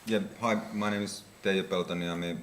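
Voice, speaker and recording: Very deep flat-voiced man from Finland. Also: moderate pace, a little hiss or hum. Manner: hesitant, some ums. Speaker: cyber security consultant. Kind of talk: panel introduction. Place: conference hall at a tech fair.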